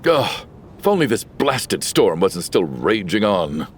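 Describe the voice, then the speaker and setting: An actor playing a grumbling, casual but soft-hearted man in a video game dub. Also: fairly low pitch, sing-song, slow pace, slight background noise. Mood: grumbling, sorry. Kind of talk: English game dub voice acting.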